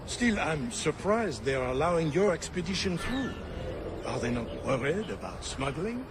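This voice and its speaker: An inquisitive, shrewd fellow in a video game drama. His fairly high voice is very animated, with heavy noise behind it. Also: moderate pace. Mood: wry doubt. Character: inquisitive, shrewd male companion of a scientist.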